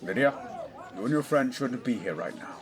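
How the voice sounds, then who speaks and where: A commanding middle-aged English lord, played by a man in a period adventure drama. He is a stern father who talks in clipped orders, his pitch moving widely, with outdoor bustle around him. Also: expressive, normal speed, a crowd in the background, close to an everyday voice. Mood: tense, grim. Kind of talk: scripted audio drama acting.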